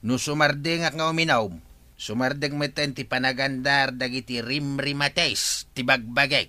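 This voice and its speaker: A man's voice: medium pitch, fairly even intonation, normal speed, pushed and bright, dry recording. A man playing a joking, boozy Ilocano husband. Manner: joking, unbothered.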